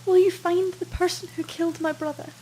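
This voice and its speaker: A bereaved young-sounding client played by a woman with a strikingly high voice, from a noir radio thriller. She is shaken and earnestly pleading, with a faint hum behind her. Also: little pitch movement, brisk, mellow. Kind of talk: noir radio drama dialogue. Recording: background noise with hum.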